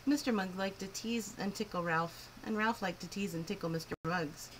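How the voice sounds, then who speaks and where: A woman reading a picture book aloud to children in an expressive, clearly phrased storytelling style. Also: medium pitch, normal speed, slight background noise, poor audio. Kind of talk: animated children's story narration.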